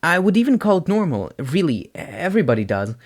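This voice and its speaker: A man's voice: on the high side, very animated, a little fast, pitched above the performer's own voice. A man voicing an anxious, earnest teenage boy who stammers and hesitates in an audio story. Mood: anxious, hurt. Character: anxious, earnest, soft-hearted teenage boy hero student.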